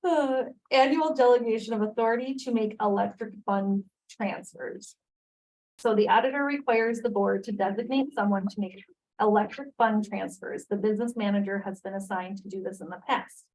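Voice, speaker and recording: A woman's voice: medium pitch, moderate pace, a little mellow, low-quality recording. Board chair, woman, formal, reads motions and calls votes. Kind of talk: running a school board meeting.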